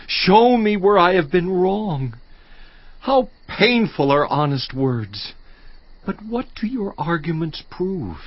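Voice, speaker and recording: Anguished, pleading Job. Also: male voice, high voice, no echo, muffled recording, heavily acted. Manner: anguished, pleading, dropping to hushed tones. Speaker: voice actor. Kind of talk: dramatized audio Bible reading.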